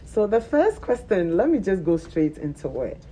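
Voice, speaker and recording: A woman's voice: mid-range voice, sing-song, normal speed, mellow, slight background noise, low-quality recording. A woman hosting a panel talk show, talking with animation and repeating words for emphasis.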